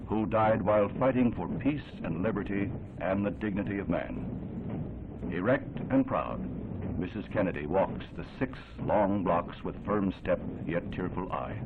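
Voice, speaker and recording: An American man narrating a 1960s newsreel in a solemn, measured, oratorical and dramatic style. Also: fairly low pitch, noisy background. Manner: grave, measured, oratorical delivery. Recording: tinny 1960s newsreel soundtrack, band-limited. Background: music.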